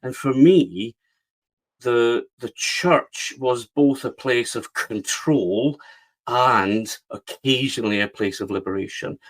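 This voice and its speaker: A man's voice: medium pitch, takes it slowly, low-quality recording. A middle-aged man from the west of Scotland, speaking with a West of Scotland accent as a guest, telling his story earnestly with frequent restarts. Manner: earnest, repeats words as he restarts. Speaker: guest, publisher. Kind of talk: reflective storytelling interview.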